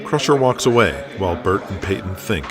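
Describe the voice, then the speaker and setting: A man's voice: low-pitched, animated, a little fast, crowd noise. A man reads scripted narration for an audio drama. He serves as the narrator, setting each scene in the present tense.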